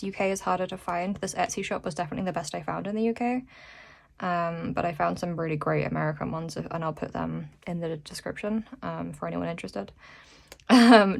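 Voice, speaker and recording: A British woman with a fairly low voice and plenty of vocal fry gives a casual vlog update. She talks informally and rambles along, with a self-deprecating way of putting things. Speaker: vlogger and small shop owner.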